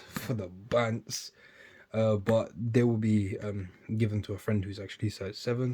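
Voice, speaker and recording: Young man, low voice, chatty, rambling, casual vlog talk. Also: noisy background. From United Kingdom. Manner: chatty, rambling, trails off quietly between bursts. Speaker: sneaker YouTuber.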